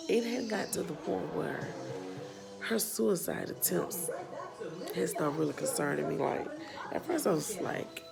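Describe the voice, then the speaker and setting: A woman in her thirties with a Southern US accent, speaking earnestly and haltingly as a parent who runs a nonprofit, her voice a bit worn. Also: fairly low pitch, lively intonation, vocal fry, loud music behind the voice. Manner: slow, earnest, halting with pauses and restarts. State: losing her voice. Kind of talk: personal vlog testimony.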